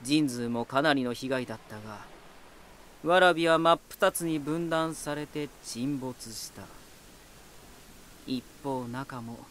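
An actor playing a proud, ominous lighthouse spirit hardened by war, deliberate, cryptic and condescending, in a dramatic voice drama. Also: male voice, mid-range voice, fairly bright tone, slight background noise. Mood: grave narration. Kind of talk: dramatic Japanese voice drama acting.

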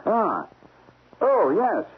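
An actor playing a guilt-ridden, crooked stockbroker in a radio drama, hesitant and highly emotional. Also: male voice, huge pitch swings, very slow, exaggerated voice. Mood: nervous. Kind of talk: 1970s radio drama acting. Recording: tinny, hissy old radio recording.

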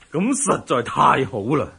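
An actor playing a stern, hearty Mongol general-prince in a historical radio drama, giving orders with weighty authority. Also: male voice, medium pitch, vocal fry, pushed and bright, breathy edge, exaggerated voice. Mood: gloating, delighted.

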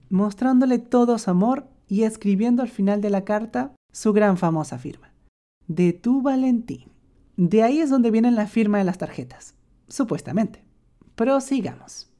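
Playful woman narrating, dark tone. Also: a little high. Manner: sarcastic, playful asides. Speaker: animator narrator. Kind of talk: comic scripted narration.

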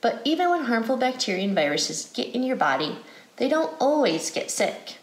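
An American woman reading a picture book aloud to children as an educator, phrasing deliberately in a guided read-aloud style. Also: on the high side, big pitch swings. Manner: deliberate, clearly phrased, asks questions. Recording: roomy indoor echo.

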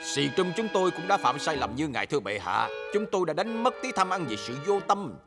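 Cartoon smurf leader, wise and creaky, fairly high for a man, fast-talking. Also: very animated, music in the background. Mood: earnest. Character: wise, calm elder smurf leader, persuasive. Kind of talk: Vietnamese cartoon dub.

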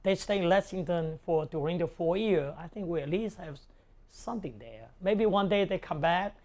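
A man's voice: high-pitched, animated, darkish tone. Man with a Hong Kong Cantonese accent. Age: middle-aged. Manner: halting, non-native English phrasing. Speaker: restaurant owner. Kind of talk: casual documentary interview. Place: indoors in a restaurant booth. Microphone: lapel.

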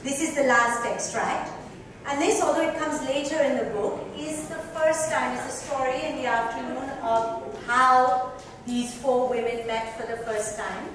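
A woman's voice: sits high, steady tone, a little slow, a bit bright. A woman giving a theatrical, emphatic dramatic reading as the author of the book. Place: club lounge, indoors. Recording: echoey hall, distant mic, faint noise.

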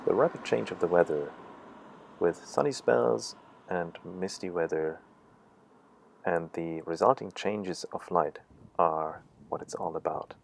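Low, gravelly male narrator for a scenic travel film, measured and calm, with audible background hiss.

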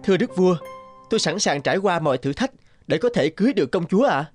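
A young fairy-tale soldier in a cartoon. He is kind-hearted and expressive, with a highish male voice. Music plays softly behind him. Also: fast, no echo. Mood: earnest. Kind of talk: animated fairy-tale dubbing.

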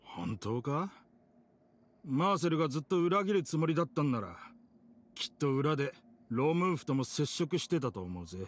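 An actor playing a rough-spoken, loyal young gentleman in a Japanese video game, blunt and emotive. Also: on the high side, very animated, normal speed, slight background noise. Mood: serious explaining. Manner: emotive, blunt casual speech.

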